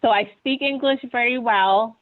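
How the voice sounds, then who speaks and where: A woman's voice: a little high, normal speed. A woman from Ghana, giving an earnest, conversational webinar presentation and often checking in with "right?". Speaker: webinar panelist. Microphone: video call. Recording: tinny video call audio.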